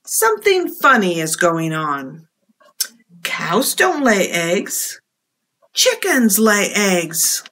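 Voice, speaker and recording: A woman in her fifties reading aloud at storytime for young children, expressive and deliberate, in a small room. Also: mid-range voice.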